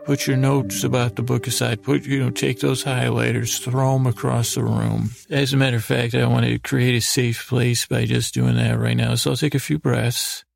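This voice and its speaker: A man with a gravelly voice hosting a sleep podcast, giving a soothing, rambling bedtime monologue that halts, repeats and wanders off mid-thought. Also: mid-range voice, flat delivery, no echo. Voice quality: gravelly, creaky. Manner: halting, meandering, repeats and trails off mid-thought.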